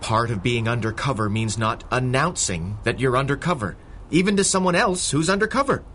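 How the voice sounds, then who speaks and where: An actor playing a patient undercover police constable who lectures a careless helper, in a 1930s-style radio drama. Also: male voice, mid-range voice, animated, brisk, a little hiss or hum, lightly acted. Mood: lecturing. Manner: hushing, lecturing, patiently exasperated.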